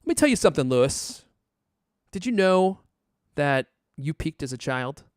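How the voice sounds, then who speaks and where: A man's voice: fairly high pitch, big pitch swings, normal speed, clean tone, no room sound. Guy hosting a podcast, jokey, voice swings up and down a lot, very dry studio sound. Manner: jokey, teasing, theatrical emphasis. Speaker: podcast host. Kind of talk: casual chat and ad reads.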